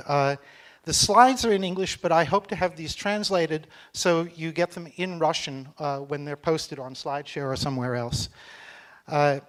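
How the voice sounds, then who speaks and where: A middle-aged American man from Texas, giving a joking, chatty conference talk on stage and speaking slowly for a foreign audience. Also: on the high side, fairly bright tone. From Texas, United States. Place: conference hall.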